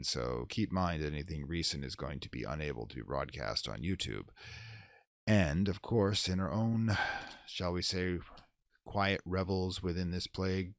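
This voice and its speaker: Very deep, gravelly male horror storyteller. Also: dry recording. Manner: theatrical, ornate phrasing with wry asides. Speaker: horror story reader and channel host. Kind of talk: dramatic gothic audiobook reading, then informal host sign-off.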